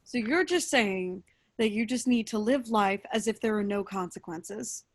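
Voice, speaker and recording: A woman's voice: mid-range voice, normal speed, natural voice. A woman playing an earnest, worried friend who seeks help, in a staged play reading. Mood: concerned, polite.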